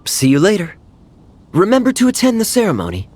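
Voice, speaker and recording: An actor playing a suave, teasing adult male knight in a game, smooth and given to chuckling. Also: sits high, wildly swooping pitch, brisk, a little mellow, a little hiss or hum. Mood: wry. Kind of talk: game cutscene voice acting.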